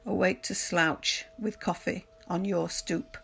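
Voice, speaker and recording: A woman reading poems aloud as a scripted recitation, in a measured, deliberate delivery. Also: fairly low pitch, steady tone, gravelly, fairly bright tone, slight background noise. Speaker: poetry reader. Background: music.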